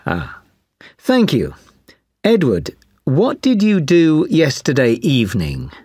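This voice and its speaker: A woman with a low, husky voice plays a speaking-test examiner, asking set questions. Her pitch swings widely. She talks slowly and carefully, recorded close and dry. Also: huge pitch swings, dark tone, dry recording. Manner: slow, clearly spaced questions. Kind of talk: scripted exam interview.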